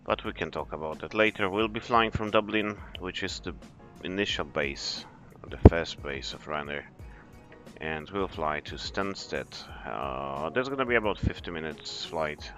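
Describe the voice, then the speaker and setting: A man, an airline captain, chatting in a relaxed, explanatory way as he streams a flight simulator session. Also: low voice, unhurried, over music. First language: Polish. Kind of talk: live stream commentary.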